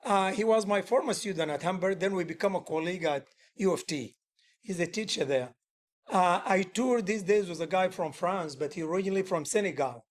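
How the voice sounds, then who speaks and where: High-voiced man talking shop as a musician. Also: brisk. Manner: earnest, rambling, loose grammar with many restarts. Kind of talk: casual workshop talk.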